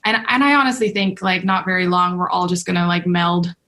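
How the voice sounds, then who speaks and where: A woman's voice: on the low side, brisk, bright, forceful tone. A young woman from Indonesia whose first language is Indonesian, a guest artist answering interview questions casually with many restarts and fillers.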